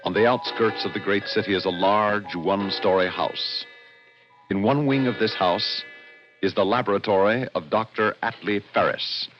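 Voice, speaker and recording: Low-pitched radio announcer, music behind. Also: male voice, lo-fi sound. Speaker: announcer and narrator. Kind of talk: 1960s radio drama scripted narration.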